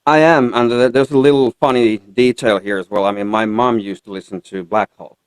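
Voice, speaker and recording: A man from Finland with a Finnish accent, chatting casually as an interview guest in a halting way. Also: mid-range voice, fairly even intonation, clean tone, dry recording, low-quality recording. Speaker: guest country singer-songwriter. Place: radio studio.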